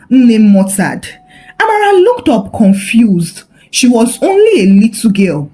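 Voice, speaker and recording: A woman narrating a scripted folktale in a dramatic, expressive storytelling manner. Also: a little high.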